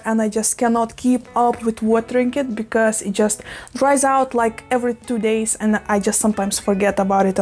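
A young woman whose first language is Russian, chatting quickly and gushing with plenty of exclamations while repotting plants at her desk in a small room full of plants. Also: high voice. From Russian-speaking, living in Lithuania. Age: young adult. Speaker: plant vlogger. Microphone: fixed camera at desk. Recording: faint background music under the voice. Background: soft background music.

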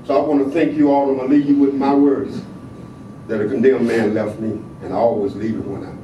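An older man from the American South speaking at a podium microphone, swinging between quiet reflection and loud, emphatic exhortation. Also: medium pitch, level tone, a little fast, recorded from a distance, highs cut off, a crowd in the background. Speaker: panel speaker. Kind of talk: impassioned activist speech. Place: large hall in a state capitol. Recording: echoey hall, heard from afar.

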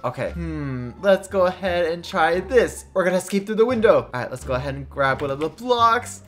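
A woman's voice: on the low side, expressive, brisk, close to an everyday voice. Woman playing a plucky, dramatic kid, music behind. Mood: determined, chatty. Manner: exclamatory, thinking aloud. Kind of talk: kids' gameplay role-play narration.

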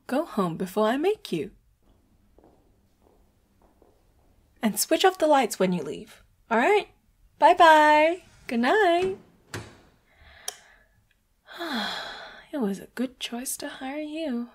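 A woman playing a sweet, secretive boss who is a hidden leopard hybrid in a roleplay audio drama, soft and breathy, often slipping into whispers. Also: high-pitched, big pitch swings, slow pace, pauses often, full character voice. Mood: affectionate. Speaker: voice actor.

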